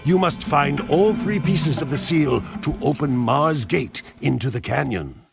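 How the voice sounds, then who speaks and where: An actor voicing an officious talking bird who translates for a sage in a video game, declaiming instructions. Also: male voice, fairly high pitch, lively intonation, measured pace, gravelly, over music. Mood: instructing. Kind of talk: animated video game cutscene acting.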